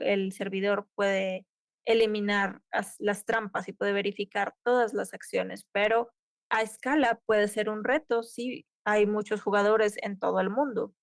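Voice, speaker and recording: A woman's voice: mid-range voice, no echo. A woman interpreting a conference tech talk live, keeping pace with occasional cut-off restarts. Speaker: simultaneous interpreter.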